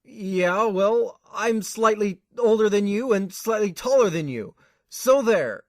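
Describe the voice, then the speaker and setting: A man's voice: sits high, expressive, slow pace, no echo, studio quality. A man playing an insecure, defensive young student in an amateur anime audio drama, earnest and a little whiny.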